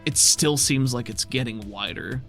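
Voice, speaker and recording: A man's voice: mid-range voice, normal speed, creaky, fairly bright tone, faint music behind. A man working as game master, improvising descriptive, conversational narration for a tabletop game.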